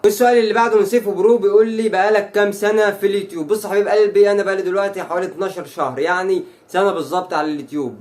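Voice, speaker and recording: A man in his twenties with an Egyptian Arabic accent, a YouTuber answering fan questions to camera in a chatty, brash way. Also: a little high. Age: 20s. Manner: chatty and brash, rattles off lines. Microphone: phone.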